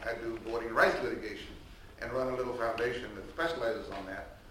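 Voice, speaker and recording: A man's voice: medium pitch, brisk, a little hiss or hum. An older man, a professor speaking on a panel without a microphone, projecting a big voice and restarting his sentences often. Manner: loud projecting voice with many restarts and fillers. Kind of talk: unmiked panel talk, conversational lecturing. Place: large echoey hall, speaking without microphone. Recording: roomy echo, distant from the mic, faint ambience.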